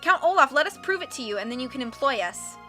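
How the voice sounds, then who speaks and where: Woman doing an eager carnival sideshow guy, insistent, bright storybook voice. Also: high-pitched, expressive, fast, soft background music. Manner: earnest, insistent.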